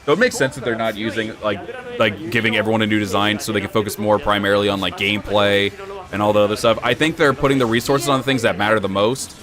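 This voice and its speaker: A young man streaming, chatting casually in an even, low-key way with sudden bursts of excitement. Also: quick pace, crowd noise. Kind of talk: casual live-stream reaction chat.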